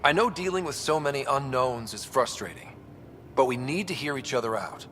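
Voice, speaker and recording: An actor playing an earnest, wry young leader of space explorers in a video game cutscene, speaking calmly. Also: male voice, medium pitch, normal speed, slight background noise, natural voice. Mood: earnest, steady. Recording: long reverberant hall echo.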